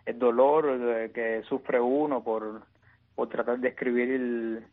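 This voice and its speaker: Halting Cuban man on a phone line. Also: medium pitch, little pitch movement. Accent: Cuban Spanish. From Cuba. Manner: halting, many restarts and repeated words. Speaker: exiled poet guest. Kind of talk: interview answers. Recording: tinny phone-line audio.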